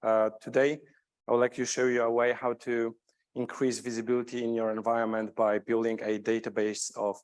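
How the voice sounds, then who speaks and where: A man's voice: mid-range voice, darkish tone. A man from Poland, not a native English speaker, giving a technical conference talk with many fillers and repeated words. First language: Polish.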